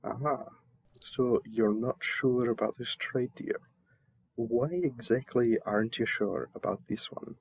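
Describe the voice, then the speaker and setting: Roleplay audio: polite, flustered male envoy, played by a man, stammering and deferential, very unhurried, dry sound. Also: mid-range voice, gravelly, dry recording, rough recording. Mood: polite, reserved. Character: flustered, polite young royal envoy mistaken for a servant.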